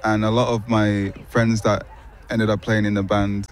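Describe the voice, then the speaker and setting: A young man from Finland, a musician guest answering interview questions in a park in a relaxed, thoughtful way with hesitant restarts. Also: on the low side, moderate pace. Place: city park outdoors.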